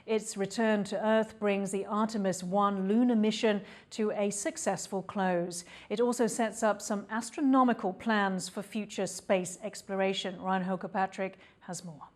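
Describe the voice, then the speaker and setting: A woman anchoring the news, reading a script in a steady, even way. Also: medium pitch, normal speed, hardly any pauses.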